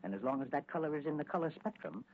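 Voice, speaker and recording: An actor playing a kindly, fussy older doctor-inventor, earnest and hesitant, in 1940s radio style. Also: male voice, fairly high pitch, lively intonation, very fast, breathy edge. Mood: earnest explaining. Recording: tinny, narrow-band 1940s radio recording.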